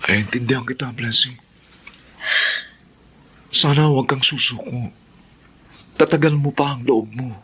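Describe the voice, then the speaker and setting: Husky, earnest young suitor. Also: male voice, medium pitch, unhurried, long pauses. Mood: concerned, reassuring. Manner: gentle, earnest, reassuring. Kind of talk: Filipino radio drama acting.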